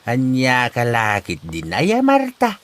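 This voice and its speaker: A man with an Ilocano Filipino accent playing a gruff, exasperated elderly village father in a comedy drama. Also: mid-range voice, huge pitch swings, slow pace, a bit bright, full character voice. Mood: exasperated, evasive. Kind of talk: amateur comedy drama acting.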